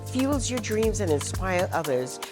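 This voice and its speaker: A woman hosting a talk show interview in an affirming, expressive way. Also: mid-range voice, measured pace, over music.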